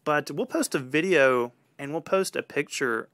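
A man telling a personal story in a casual, animated way on a podcast. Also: on the high side, moderate pace, dry recording.

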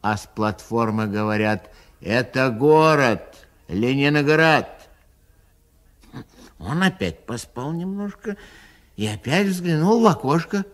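A man reading a children's rhyming poem aloud in a sing-song, lively way. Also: medium pitch, slow, long pauses. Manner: lively, sing-song delivery with a recurring refrain. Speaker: narrator.

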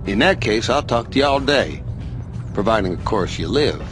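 An actor playing a sly, smug outlaw racer in a video game, goading with mocking theatrical pauses. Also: male voice, fairly low pitch, expressive, close to an everyday voice. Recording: music behind the dialogue.

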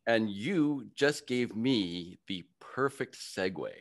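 A man's voice: fairly low pitch, expressive, takes it slowly. A middle-aged man hosting a podcast interview over a video call, asking enthusiastic, encouraging questions. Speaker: podcast host. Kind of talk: casual interview talk. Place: home studio with brick wall. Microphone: studio mic on video call.

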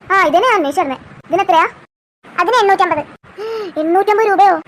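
A woman's voice: very high-pitched, fairly quick, a little hiss or hum. A woman with a Kerala Malayalam accent voicing a girl in a homemade comedy cartoon. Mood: chatty.

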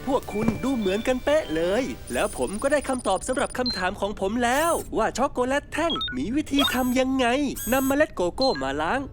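Woman doing a curious cartoon explorer guy, peppy and polite, voice jumps around a lot, loud music under it. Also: high-pitched, expressive, fairly quick, hardly any pauses. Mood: upbeat. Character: cheerful curious young male cartoon explorer. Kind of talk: Thai cartoon dub.

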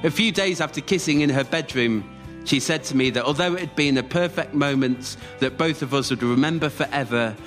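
A man performing a scripted autobiographical story live, delivered in measured short phrases. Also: mid-range voice. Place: radio theatre stage, before a live audience.

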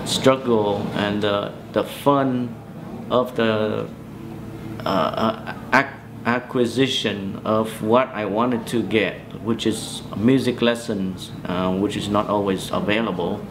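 A man in his forties from Vietnam with a Vietnamese accent, recounting memories in a halting, hesitant way as he searches for words in an interview. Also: fairly low pitch, fairly even intonation, background noise. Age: 40s. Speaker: interviewee, musician. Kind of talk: oral history interview, personal recollection. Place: small bedroom, indoors.

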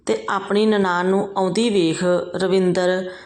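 A woman's voice: medium pitch, fairly even intonation, a little slow, a little mellow. Woman narrating a story.

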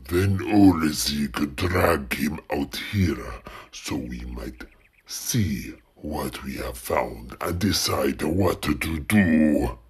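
A man acts out a desert nomad chieftain in a fantasy tale. The voice is extremely deep and very slow, with lively swings in pitch. He is stern, suspicious and used to giving orders. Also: slightly rough, full character voice. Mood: commanding.

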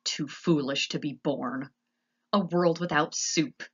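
A woman voicing a gruff, brooding old male jailer, solemn and declamatory, in a storybook reading. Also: low-pitched, slow pace, highs cut off, exaggerated voice. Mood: grumbling. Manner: speaks of himself in third person, declamatory.

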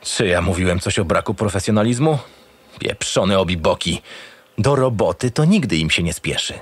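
A low-pitched, cynical male detective from a crime radio play. He is curt and impatient, with lively swings in intonation. The recording is dry and close. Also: normal speed, husky, no room sound. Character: gruff, cynical, experienced male homicide detective. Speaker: actor playing the lead detective. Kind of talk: audio drama acting with noir narration.

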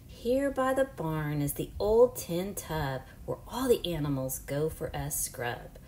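Slow, animated woman reading to children. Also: sits low, reverberant, slight background noise. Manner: animated, questioning, exaggerated teacherly delivery. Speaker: children's storybook reader. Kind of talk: read-aloud to young children. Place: small home room, indoors.

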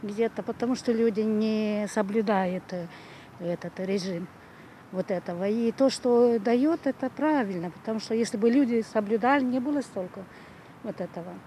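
An older woman answers a street interviewer haltingly. Her voice is soft-toned and dark, slightly high, recorded outdoors on a handheld mic. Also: a little hiss or hum. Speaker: interviewed passer-by. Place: city park, outdoors. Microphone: handheld reporter microphone.

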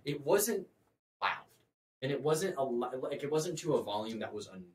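A man's voice: mid-range voice, lively intonation, normal speed, a little hiss or hum. A teenage boy in casual chat, explaining his view earnestly with hesitant restarts. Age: teens. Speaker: podcast co-host. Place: classroom at a table, indoors. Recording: roomy classroom, mic at a distance.

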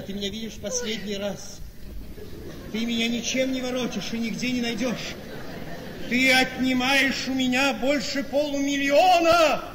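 An actor playing a manipulative, self-pitying gentleman husband in a Russian radio play, coaxing and melodramatic. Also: extremely high pitch. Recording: reverberant studio radio play with noise.

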